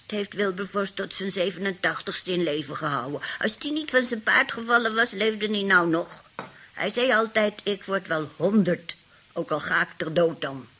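An actress playing a feisty, scheming old great-aunt in a radio play, husky and indignant, grumbling and outspoken. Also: female voice, medium pitch, moderate pace, bright, forceful tone. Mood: bitter, grumbling. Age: older.

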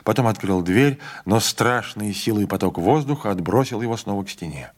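Male audiobook reader, lower voice, measured classic narration. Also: expressive. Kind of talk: literary narration.

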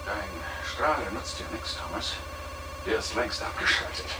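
An actor in a radio drama playing an agitated male moon crewman, speaking urgently with a strained, hurried delivery. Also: fairly low pitch, pushed and bright, reverberant. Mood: urgent, tense. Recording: distant, faintly noisy radio drama scene.

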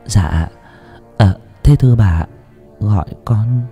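A meek young serving woman, voiced by a man, soft and deferential, bowing her words to her mistress. Her pitch is raised and moves a lot. Faint music plays underneath. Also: very animated, a little slow. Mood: timid. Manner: timid, deferential.

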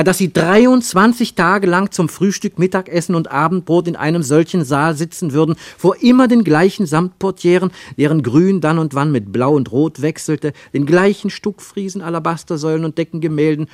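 A man narrating a literary radio drama in a deliberate storytelling manner. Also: on the high side, dry recording, studio quality.

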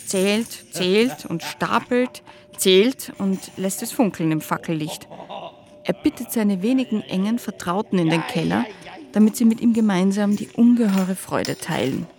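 A woman performs a female narrator in a scripted radio piece. Her voice sits at an average pitch, and she tells the tale deliberately, with relish. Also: darkish tone, natural voice. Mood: savouring.

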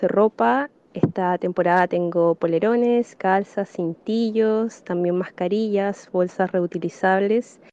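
A woman recording a friendly, brisk promotional message for her shop. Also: mid-range voice, warm tone, clear, resonant tone, lo-fi sound. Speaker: small business owner in an ad.

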